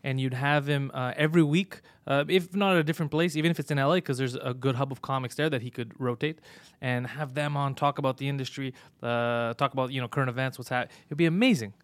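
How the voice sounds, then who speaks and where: A man's voice: mid-range voice, steady tone, fast, no echo. A man hosting a podcast, asking long, loose questions filled with "uh" and "you know". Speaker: podcast host, comedian. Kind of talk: casual podcast interview.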